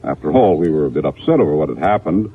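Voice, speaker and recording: A man's voice: extremely low pitch, lively intonation, fast talker, slightly rough, exaggerated voice. An actor playing an evasive, well-off yacht owner in a 1950s radio drama, hesitating as he explains. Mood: evasive, explaining. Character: evasive wealthy yacht-owning husband, smooth host. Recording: muffled old radio recording, phone-like.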